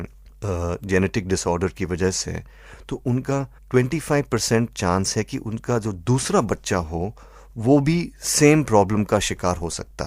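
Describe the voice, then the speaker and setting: A man with an Indian accent answering as an expert doctor in an interview, measured and methodical, mixing in English phrases. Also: low-pitched, a little mellow, no echo, studio quality. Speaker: eye doctor, expert guest.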